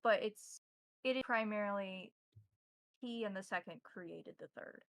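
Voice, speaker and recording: Gravelly-edged woman running a tabletop game. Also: medium pitch, a little slow, a bit bright, dry recording. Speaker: game master. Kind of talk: improvised tabletop roleplay narration.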